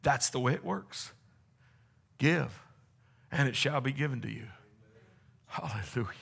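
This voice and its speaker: A man's voice: medium pitch, unhurried, creaky, a bit bright, breathy edge. A man preaching a sermon as pastor, with fervent exclamations and a voice strained from long preaching. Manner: preaching with rising fervor, exclamations and call-and-response.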